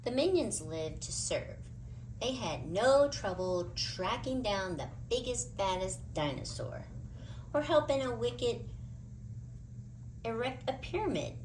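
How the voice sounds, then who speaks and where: A woman's voice: on the high side, sing-song, slow, darkish tone, a little hiss or hum, low-quality recording. A woman narrating a children's storybook aloud, expressively and with dramatic emphasis.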